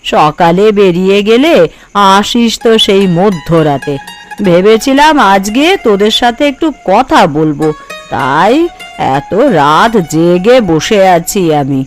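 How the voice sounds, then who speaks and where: A woman's voice: mid-range voice, lively intonation, slow, soft background music. A woman voicing an older, bossy, thrifty mother who scolds and frets over her family in a cartoon. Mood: weary, tender. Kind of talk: Bengali cartoon voice acting.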